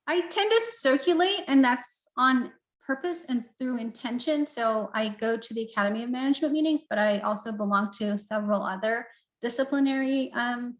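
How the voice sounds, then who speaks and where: A middle-aged woman from Turkey, a professor answering interview questions in a thoughtful, measured way with frequent pauses. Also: on the high side. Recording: roomy echo.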